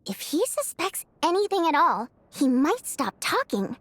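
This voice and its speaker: A woman's voice: high voice, very animated, measured pace, a bit bright, heavily acted. A woman playing a bubbly, scheming young female singer in a video game, chatty and coaxing in a theatrical way. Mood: conspiratorial. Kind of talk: video game character dialogue.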